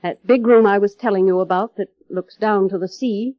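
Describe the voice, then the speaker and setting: An actress playing a cold, formal middle-aged housekeeper in 1930s radio drama, measured and quietly menacing. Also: female voice, mid-range voice, steady tone, no room sound, lightly acted. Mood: quietly ominous. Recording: dull, muffled vintage radio broadcast, music.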